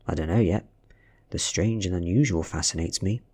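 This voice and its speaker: A man voicing a sickly, nerdy teenage boy, earnest and self-deprecating, in a softly read story. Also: low voice, normal speed, slightly rough, pitched below the performer's own voice.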